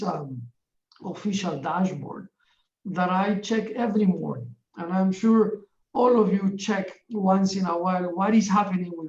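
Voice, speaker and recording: A middle-aged man from Ecuador gives a formal, earnest opening speech, pausing often with fillers. His voice has a gravelly edge and sounds roomy and set back from the mic. The sound is dull and muffled. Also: sits high, darkish tone. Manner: earnest, deliberate, halting with frequent fillers. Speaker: webinar chair, UN division director. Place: office in a large UN building. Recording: echoey, distant and dull-sounding.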